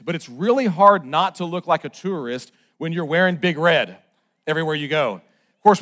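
Male pastor, high voice, easygoing sermon delivery that drops from emphatic bursts to quiet asides. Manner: chatty, self-mocking, checks in with "okay?"; swings from loud and emphatic to low and soft. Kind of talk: conversational sermon.

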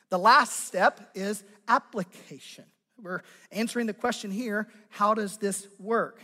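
An American man preaching a conversational teaching sermon as a pastor, with a raspy, strained voice and deliberate, emphatic phrasing. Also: high-pitched, a bit bright. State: strained voice after losing it. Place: large church sanctuary. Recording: long hall reverb from sound system.

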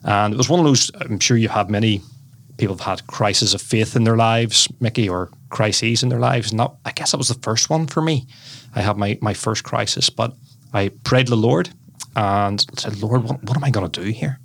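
Low-voiced Belfast man in his forties. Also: moderate pace, gravelly, dry recording. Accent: Northern Irish, Belfast. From Northern Ireland. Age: 40s. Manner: warm, chatty, addresses host by name often. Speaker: guest, former lawyer. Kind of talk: conversational life story.

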